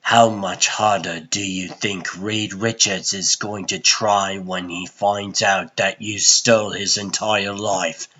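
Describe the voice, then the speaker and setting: A man voicing a weary, resolute sorcerer in a comic dub, speaking with husky, restrained firmness. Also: on the low side, sharp tone, full character voice. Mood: quiet defiance. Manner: restrained, measured, firm. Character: weary, resolute sorcerer standing up to an old friend. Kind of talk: dramatic comic-dub acting.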